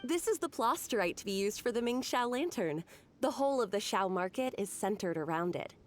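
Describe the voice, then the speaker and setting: A woman's voice: mid-range voice, lively intonation, a little fast, natural voice. A woman playing a friendly, knowledgeable townswoman in a video game dub, explaining things in a helpful, proud way.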